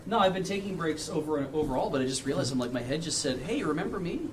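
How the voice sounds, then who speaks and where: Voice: medium pitch, lively intonation, fast, distant mic, noisy background. A person chatting casually in an off-the-cuff way. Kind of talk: casual chat.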